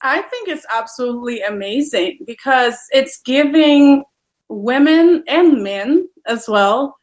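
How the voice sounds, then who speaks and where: Woman, 30s, chatty interview guest, somewhat high-pitched. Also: expressive, slow pace, poor audio. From Poland. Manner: chatty, many "you know" fillers, laughs often. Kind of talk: casual interview chat.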